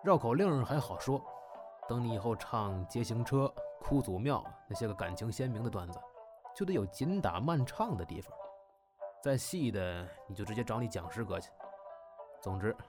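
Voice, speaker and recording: A man playing a stern but fair crosstalk master, measured and instructive, in an audio drama. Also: on the low side, expressive, over music, natural voice. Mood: critical, instructive.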